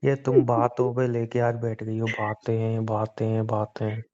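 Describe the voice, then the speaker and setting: Mellow teasing young man on phone. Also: on the low side, monotone, measured pace. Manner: teasing, joking. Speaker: boyfriend on a phone call. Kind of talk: casual phone chat. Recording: dull lo-fi call recording.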